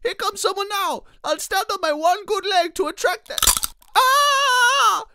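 A man's voice: very high-pitched, a little slow, sharp tone, dry recording, pitched above the performer's own voice, exaggerated voice. A man voicing a hapless injured comedy character, exaggerated and plaintive. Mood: pained, hopeful. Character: hapless injured man, squeaky and stoically pleading.

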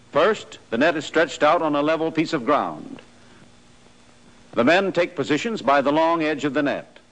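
Male narrator, slightly higher voice, measured instructional read, 1940s film soundtrack. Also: fairly bright tone, rough recording. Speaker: narrator of army training film. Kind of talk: scripted documentary narration.

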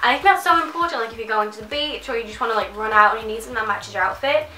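A woman's voice: on the high side, rapid-fire, bright, forceful tone, echoey room, distant mic, low-quality recording. A young woman chatting to camera about a clothing haul, nasal and congested from a cold, gushing and full of "like". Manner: chatty, gushing, many likes. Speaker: fashion vlogger. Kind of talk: casual haul chat to camera. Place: small bedroom, indoors.

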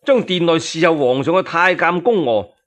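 A man with a Cantonese accent narrating a historical tale as a solo radio storyteller, dramatic and expressive. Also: a little high, moderate pace.

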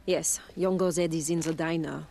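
An actress playing a haughty, serene cultist with a French accent and a pompous, mystical manner in a video game, a talking severed head. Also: female voice, sits low, fairly even intonation, normal speed, creaky, dark tone, slight background noise. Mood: aloof, cryptic. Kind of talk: video game character acting.

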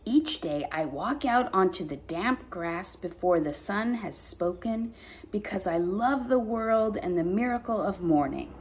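A woman's voice: mid-range voice, animated, unhurried, a little mellow, a little hiss or hum. A middle-aged woman, a pastor hosting story time, reading poems aloud expressively to children with casual asides. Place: bright dining room at home.

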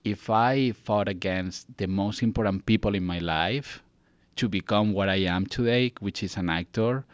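A man's voice: fairly low pitch, moderate pace, no echo. A young man from Catalonia with a Spanish accent, a native Spanish and Catalan speaker, talking casually and earnestly as a podcast guest into a close studio mic. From Catalonia, Spain. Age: young adult. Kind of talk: casual interview talk. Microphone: close boom-arm studio mic.

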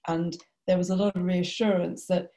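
Low-voiced British woman, even and mellow. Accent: British English. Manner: measured, explanatory, many fillers and restarts. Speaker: GP and charity panelist. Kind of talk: webinar panel discussion. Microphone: video call.

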